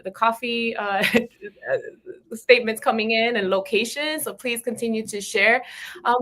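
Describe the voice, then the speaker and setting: A woman's voice: medium pitch, fairly bright tone. A woman hosting an online interview, talking conversationally with frequent restarts and asides like "right?". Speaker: webinar host.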